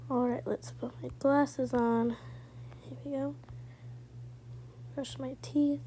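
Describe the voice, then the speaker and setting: Female video creator, relaxed dark-toned delivery, very unhurried, casual narration of a role-play. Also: sits high, slight background noise. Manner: informal, talking through each small step.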